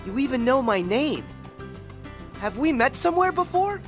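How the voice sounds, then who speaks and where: A man voicing an earnest, puzzled teenage boy hero in an animated video game. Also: extremely high pitch, normal speed. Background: music.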